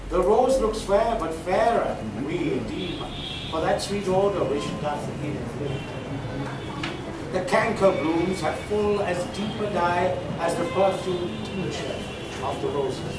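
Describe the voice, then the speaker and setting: A man reciting Shakespearean sonnets as a poetry reader, with deliberate, dramatic phrasing and pauses. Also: fairly high pitch. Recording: roomy, distant, clearly noisy live recording.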